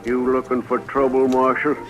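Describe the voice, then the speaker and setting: An actor playing a defensive, bitter gunfighter turned cook in a radio western, wary and defiant. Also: male voice, medium pitch, completely flat delivery, normal speed, over loud music, lightly acted. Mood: suspicious, uneasy. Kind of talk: 1950s radio western acting. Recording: dull, noisy old-time radio recording.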